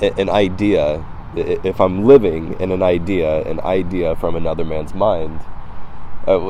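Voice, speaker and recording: A slightly hoarse man vlogging outdoors, talking in a casual, reflective, halting philosophical monologue with repeated words. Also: low voice, warm tone, a little hiss or hum, low-quality recording. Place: outdoors by the water.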